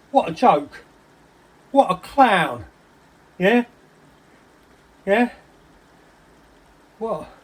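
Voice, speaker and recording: Man acting a husky, paranoid English ranter. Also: mid-range voice, darkish tone, close to an everyday voice, rough recording. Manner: theatrical, wordy, slangy, repeating himself. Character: agitated paranoid henchman ranting about a superspy, colourful slangy storyteller. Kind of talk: dramatic monologue.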